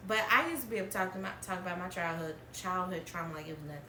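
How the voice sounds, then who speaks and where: Woman in her thirties, bright and chatty, recorded in a roomy space off-mic. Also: fairly low pitch, very fast, recorded from a distance, a little hiss or hum. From Alabama, US. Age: 30s. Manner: chatty, laughs often, trailing restarts. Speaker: podcast host. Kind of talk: candid storytelling chat.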